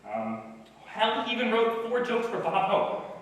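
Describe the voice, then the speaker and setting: An actor playing a hesitant, loyal old writer on stage, speaking in a dry, halting way. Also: male voice, extremely high pitch, big pitch swings, heavily acted. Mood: wry, affectionate. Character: wry, hesitant old male writer, loyal lifelong friend. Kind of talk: stage acting in a one-act play. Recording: echoey hall from far off, noisy.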